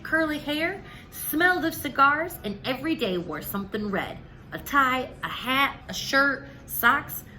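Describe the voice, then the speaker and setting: Animated, bright-voiced woman storyteller. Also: fairly high pitch, low-quality recording. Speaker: read-aloud storyteller. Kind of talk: expressive children's storybook narration.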